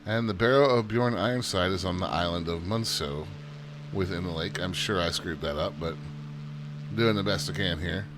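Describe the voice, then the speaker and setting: A low-pitched man talks through a flight sim session in an easygoing, chatty tour-guide manner, joking at his own expense. A constant hum runs beneath the recording. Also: normal speed. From United States. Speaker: flight sim channel host. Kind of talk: casual gameplay commentary. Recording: steady background hum.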